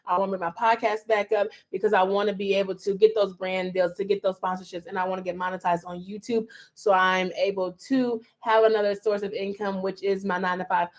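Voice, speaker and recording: A woman's voice: medium pitch, level tone, brisk, hardly any pauses. A woman hosting a casual podcast chat, playful and loose, reading out listener questions with plenty of filler "ums". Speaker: podcast host.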